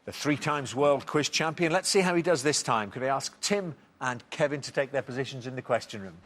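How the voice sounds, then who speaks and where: A man's voice: mid-range voice, animated, very noisy. A man hosting a TV quiz show, reading out questions and bantering lightly with contestants. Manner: brisk, reads questions clearly, light banter.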